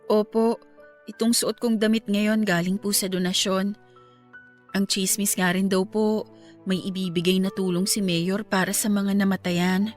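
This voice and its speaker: A woman's voice: mid-range voice, little pitch movement, unhurried, natural voice. An actress playing a young woman grieving her grandparents in a radio drama, earnest and polite. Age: young adult. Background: faint background music.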